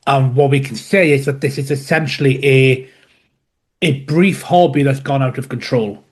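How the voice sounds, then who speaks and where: A man with a Welsh accent speaks as a guest historian on a podcast, laying out his points in a measured, explanatory way. His humour is dry. His voice sits at an ordinary middle pitch. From West Wales. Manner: measured, explanatory, dryly humorous. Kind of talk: podcast interview.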